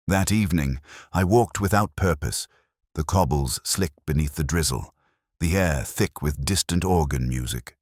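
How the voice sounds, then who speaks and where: Very deep, brooding retired detective played by a man. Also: no room sound, studio quality, full character voice. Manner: measured, wistful, erudite, wry. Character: melancholy older male retired Oxford police inspector, reflective narrator. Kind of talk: literary first-person detective drama monologue.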